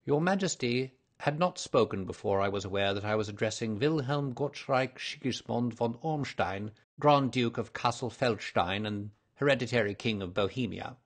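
A man voicing a cool gentleman detective in a storybook, with a dry, brisk, languidly superior manner. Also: medium pitch, close to an everyday voice. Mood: languid, impatient.

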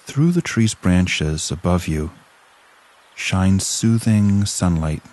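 Very deep, slow male relaxation guide. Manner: calm, soothing, measured with long pauses. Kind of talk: scripted guided relaxation narration.

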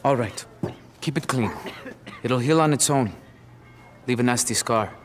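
A man's voice: medium pitch, unhurried, over music, lightly acted. A man playing a world-weary, streetwise army camp doctor in a video game, plainspoken. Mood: stern, knowing. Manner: plainspoken, knowing, slips into Spanish. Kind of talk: video game cutscene acting.

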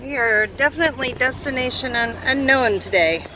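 Woman, middle-aged, slightly high voice, casual travel vlog chat, inside a vehicle. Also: normal speed, sharp tone, a little hiss or hum, low-quality recording. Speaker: vlog host. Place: inside a parked or moving vehicle.

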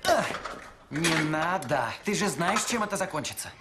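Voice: medium pitch, normal speed, very noisy, lightly acted. Дубляж мультфильма: актёрская озвучка самоуверенного болтливого мальчика-сироты, который говорит хвастливо и возбуждённо. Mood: sulky, teasing. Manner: boastful, excitable. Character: cocky, chatty young orphan boy, would-be inventor. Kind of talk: animated film dub acting. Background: loud film music.

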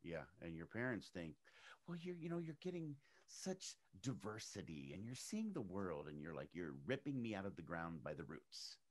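A middle-aged man raised in Arizona, an actor talking casually as an interview guest and acting out the people he quotes with big pitch jumps. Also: sing-song, normal speed. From United States, raised in Arizona.

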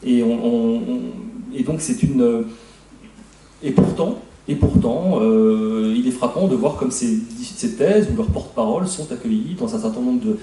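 Halting middle-aged male historian, echoey room. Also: mid-range voice, mellow, a little hiss or hum. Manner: halting, many fillers and restarts. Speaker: historian on a debate panel. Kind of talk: spontaneous panel talk. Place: conference room, seated at a panel table. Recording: echoey room, mic far off.

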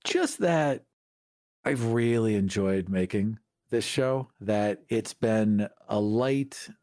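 A man's voice: fairly low pitch, slow pace, darkish tone, no echo, clean studio sound. A man co-hosting a podcast, talking casually about tabletop games. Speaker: podcast co-host.

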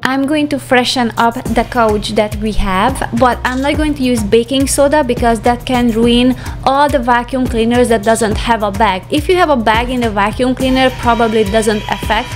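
Higher-pitched woman narrating over music. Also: normal speed, hardly any pauses. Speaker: home vlogger narrating a cleaning routine. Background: background music.

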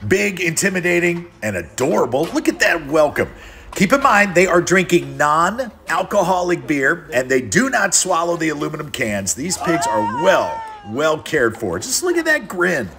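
A man's voice: fairly high pitch, very animated, normal speed, vocal fry. A man hosting a travel show, narrating a scripted voice-over in an upbeat, enthusiastic way.

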